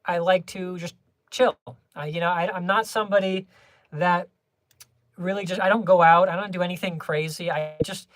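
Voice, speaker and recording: A young adult livestreamer chatting casually with viewers, rambling through many restarts and small laughs in a low-key, homebody way. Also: fairly even intonation, moderate pace, no room sound, studio quality.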